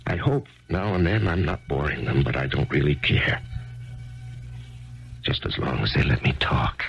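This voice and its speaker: A very low, gravelly voice rises and falls through a reflective, halting radio-drama monologue, the grieving widowed author. The sound is dull and lo-fi like an old broadcast, with faint music underneath. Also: male voice, animated, full character voice. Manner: reflective, halting, stammers when eager. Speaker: narrator and lead character. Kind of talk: 1970s radio drama acting.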